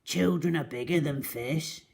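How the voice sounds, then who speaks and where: A woman's voice: low-pitched, a little slow, creaky, darkish tone. A woman voicing an enormous, greedy, boastful crocodile from a storybook, drawing out words with scheming relish. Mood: gleeful, scornful. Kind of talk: storybook character reading.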